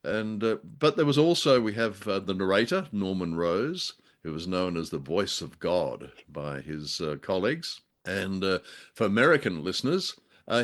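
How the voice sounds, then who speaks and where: A man co-hosting a radio show, chatting casually about old drama in a halting, wry, bookish way. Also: lowish voice.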